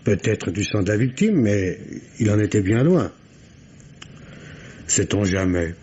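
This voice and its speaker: A man's voice: on the low side, animated, unhurried, dark tone. An actor playing an easygoing, deferential male police assistant in a vintage radio mystery, informal and a little hesitant. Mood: reporting, hesitant. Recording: dull, noisy old radio recording.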